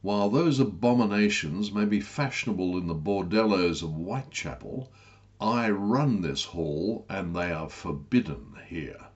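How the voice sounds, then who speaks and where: Guy as stern icy housekeeper lady, haughty, slow measured words, storybook. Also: sits low, animated, muffled recording. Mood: icy disapproval. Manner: severe, haughty. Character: stern, icy, disapproving housekeeper woman of indeterminate age.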